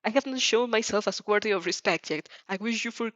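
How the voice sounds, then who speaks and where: A woman voicing an earnest, hyper-energetic young boy in a story, formal and emphatic. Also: medium pitch, fairly quick, no echo, muffled recording, close to an everyday voice. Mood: earnest, determined.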